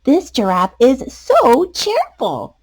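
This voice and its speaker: Storybook kid at the zoo, gushing and wide-eyed, high and swooping , performed by a woman. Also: huge pitch swings, slow pace, full character voice. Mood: delighted.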